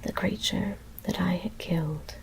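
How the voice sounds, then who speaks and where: A woman whispers a horror story in a hushed, deliberate ASMR reading. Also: on the low side, fairly even intonation, poor audio. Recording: faint background noise.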